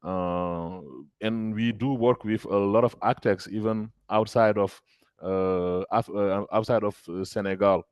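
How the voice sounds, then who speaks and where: Guy on a webinar call, hesitant, lots of uhs, dry low voice. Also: fairly even intonation, measured pace, smooth, no room sound. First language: French. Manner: hesitant, many fillers and restarts. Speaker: agritech founder answering questions. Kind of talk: webinar Q&A answer. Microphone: video call.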